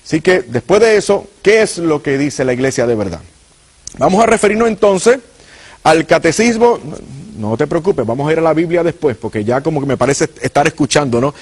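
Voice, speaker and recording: Husky Puerto Rican preacher man. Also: fairly high pitch, lively intonation, a little fast. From Puerto Rico. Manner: earnest, addresses viewers directly. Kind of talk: apologetics teaching.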